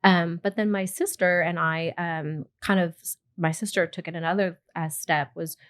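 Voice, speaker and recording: Woman interview guest, lower register, chatty and self-deprecating storyteller, conversational and casual. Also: steady tone, normal speed. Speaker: podcast guest, plastic surgeon.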